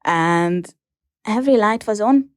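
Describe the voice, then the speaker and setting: A young woman with a Hungarian accent, answering interview questions in a hesitant, casual way with many pauses and fillers. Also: medium pitch, animated, no echo, studio quality. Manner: hesitant, many ums and restarts. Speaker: interview guest.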